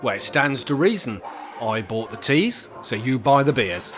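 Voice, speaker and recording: A man's voice: mid-range voice, animated, a little slow, a bit bright, soft background music. A man playing a grumpy, bossy, rebellious older man in a comic radio play, blunt and exasperated. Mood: bossy, urgent. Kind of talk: radio play comedy acting.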